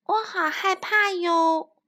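An innocent baby dinosaur boy from a storybook, played by a woman, with a very high, animated voice. He is childlike and adoring, exclaiming and pleading in an unhurried way. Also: full character voice. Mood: frightened, clinging.

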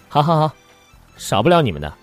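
An actor playing a lively young man who loves diving and also narrates in a Chinese audio drama. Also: on the high side.

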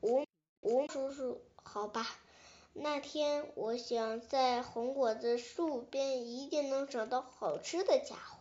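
A woman voicing a big, hungry male tyrannosaurus in a storybook, blustering and halting, gruff but softening. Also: sits high, lo-fi sound. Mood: gentle, halting.

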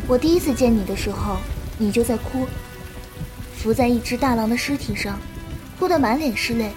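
A woman playing a gentle, compassionate young martial-arts disciple who explains patiently in a Chinese period audio drama. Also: on the high side, moderate pace, music in the background, natural voice. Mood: gentle, consoling.

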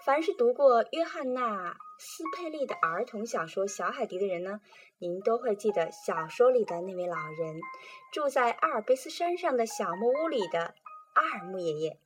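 A woman presenting and reading children's poetry in a calm, gentle storytelling manner. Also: on the high side, normal speed, over music. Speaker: reader and presenter.

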